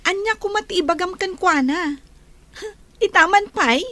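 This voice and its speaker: A woman's voice: extremely high pitch, measured pace, sharp tone, dry recording, exaggerated voice. A woman playing a nosy, teasing schoolgirl sidekick in an audio drama, with exaggerated delivery. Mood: puzzled, nosy.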